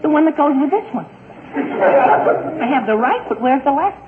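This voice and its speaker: An actor playing a flustered, excitable teenage schoolboy in 1940s radio sitcom style. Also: male voice, very high-pitched, normal speed, crowd noise. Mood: flustered, exasperated. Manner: excitable, exasperated. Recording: tinny, noisy 1940s radio broadcast.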